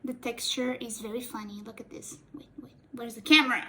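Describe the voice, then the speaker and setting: A woman's voice: on the high side, steady tone, brisk, sharp tone. A young woman whose first language is Portuguese, casually rambling and joking while doing a makeup tutorial, often correcting her own words. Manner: rambling, playful, self-correcting, giggly. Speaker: cosplay makeup vlogger.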